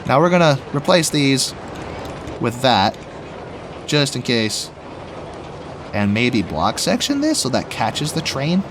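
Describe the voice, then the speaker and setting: A young man with a rough, slightly raspy voice after being sick, chatting casually and rambling as he plays a theme park building game. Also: mid-range voice, big pitch swings, takes it slowly, long pauses, background noise. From Washington State. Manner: rambling, chatty, laughs often, talks to himself while building. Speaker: gaming YouTuber. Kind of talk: casual let's play commentary.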